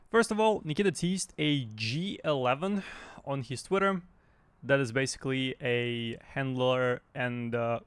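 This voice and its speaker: A man talking casually and off the cuff as a gaming news host, in a hesitant, halting way. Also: medium pitch, smooth, no room sound. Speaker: YouTube game news host.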